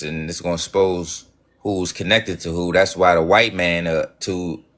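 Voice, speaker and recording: A man's voice: low-pitched, fairly bright tone. A man hosting a livestream who talks in a rambling, preachy way, swearing often and restarting his sentences. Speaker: livestream host.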